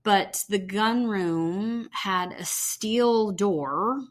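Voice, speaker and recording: A woman's voice: mid-range voice, drawn-out words. A woman co-hosting a podcast, chatting casually and conversationally as she recaps television. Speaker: podcast co-host.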